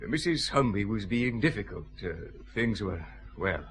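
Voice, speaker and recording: An actor playing a deferential police sergeant in a radio drama, with a hesitant, apologetic manner. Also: male voice, on the low side, expressive, normal speed, a bit bright. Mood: sheepish, uneasy. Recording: faint background noise, ambience.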